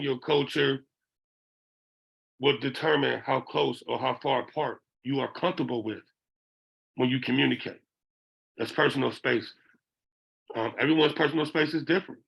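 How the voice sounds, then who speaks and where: Raspy-voiced man giving a workshop lecture. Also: mid-range voice, low-quality recording. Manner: checks in with listeners by asking "okay?". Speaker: behavioral interventionist.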